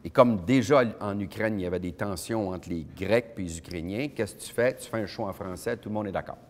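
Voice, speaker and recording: A middle-aged man with a Québécois accent speaks to an audience as a keynote speaker, his voice on the low side. He tells stories in an animated way, dropping punchy sound words. The sound carries a long hall reverb. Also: a little fast. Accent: Québécois French. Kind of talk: lively conference talk with anecdotes. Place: event hall at a winery.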